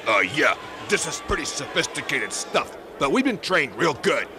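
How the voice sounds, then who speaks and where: A man voicing a dim, earnest, self-important rhinoceros trader with a gravelly voice in a radio comedy. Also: fairly high pitch, big pitch swings, moderate pace, noisy background. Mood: earnest, proud. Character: dim, earnest, hungry male rhinoceros turned commodity trader. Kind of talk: 1980s satirical radio comedy acting.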